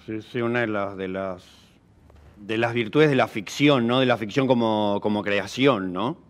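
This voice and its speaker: A man's voice: on the low side, normal speed. A man with an Argentine accent interviewing conversationally, tentative and often seeking agreement with short tag questions.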